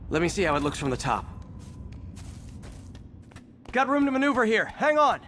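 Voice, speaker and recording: An actor playing a young wisecracking superhero in a video game, with an American accent and a casual, quippy delivery. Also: male voice, high-pitched, big pitch swings, moderate pace, a bit bright, slight background noise. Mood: wry. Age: young adult. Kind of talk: video game voice acting, natural dialogue.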